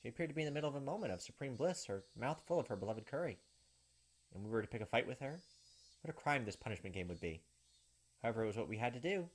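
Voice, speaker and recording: Fast-talking American man narrating a game. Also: medium pitch, animated, slight background noise. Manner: informal, salty-tongued. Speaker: let's play host. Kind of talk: reading game script aloud with casual asides. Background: faint game music.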